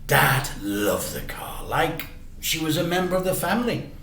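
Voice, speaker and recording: A man reading a children's story aloud in an animated, deliberate way with dramatic emphasis. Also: on the high side, creaky. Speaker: teacher reading stories to children. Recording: echoey room.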